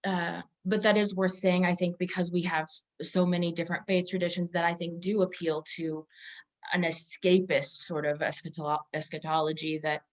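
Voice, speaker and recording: A woman hosting a conversational Bible discussion, explaining in halting phrases and often correcting herself as she goes. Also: lowish voice, little pitch movement. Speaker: host of a Bible discussion podcast. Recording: muffled, dull lo-fi audio.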